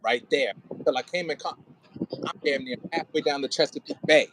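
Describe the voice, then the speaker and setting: A man's voice: fairly high pitch, moderate pace, a bit bright, slight background noise, low-quality recording. A man giving informal, conversational rehearsal notes as a director, often demonstrating how lines could be read. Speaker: audio play director coaching an actor. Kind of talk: casual rehearsal coaching and line notes.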